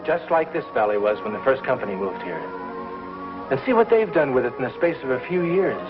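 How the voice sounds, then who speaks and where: Earnest frontier husband played by an actor, lively intonation. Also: male voice, mid-range voice, fairly quick, close to an everyday voice. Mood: fervent, persuasive. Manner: earnest, persuasive. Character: devout, earnest frontier husband and father, affectionate. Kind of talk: 1950s TV western acting. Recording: muffled 1950s TV soundtrack, music behind.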